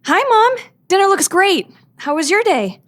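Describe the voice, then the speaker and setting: Teen daughter, radio drama, played by a woman: eager, defiant, very high voice, animated delivery. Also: measured pace, full character voice. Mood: cheerful. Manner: perky, protesting. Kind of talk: old-time radio drama acting.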